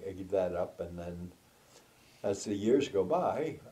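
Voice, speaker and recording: A man's voice: sits low, expressive, a little slow, mellow. A man in his eighties, a retired judge, telling rambling stories in an oral history interview and acting out the quotes. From United States. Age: 80s. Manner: rambling, anecdotal, acts out quotes. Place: formal office with flags, seated on sofa.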